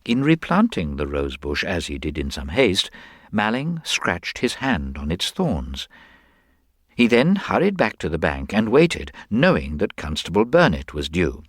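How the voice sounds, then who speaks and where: Man acting mild government investigator, male, low pitch, lively intonation, courteous, deliberate, murmured hesitations, detective story, dry sound. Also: no echo, heavily acted. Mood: explaining calmly. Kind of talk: dramatised audiobook detective story.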